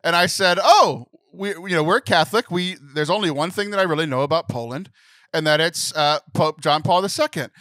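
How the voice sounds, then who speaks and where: A young man co-hosting a podcast, telling a story in a lively, animated way. He laughs often and mimics other people's voices. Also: fairly high pitch, fairly quick, dry recording. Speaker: podcast co-host.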